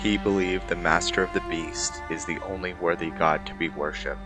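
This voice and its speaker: A man presenting a fantasy roleplay game playthrough as its host and narrator, speaking in an unhurried way. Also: low voice, fairly even intonation, over music. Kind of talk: dramatic story narration, then informal commentary.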